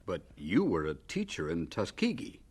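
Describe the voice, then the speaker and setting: An actor playing a respectful, slightly incredulous interviewer in an audio drama. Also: male voice, sits low, huge pitch swings, moderate pace, darkish tone, husky, heavily acted. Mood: curious. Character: curious male interviewer, respectful, a little incredulous.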